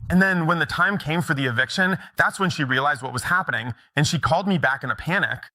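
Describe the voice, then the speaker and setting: A man's voice: on the high side, fast talker, bright, forceful tone, dry recording. A middle-aged man telling stories in a conversational conference talk, often checking in with the audience. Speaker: mortgage broker giving a conference talk.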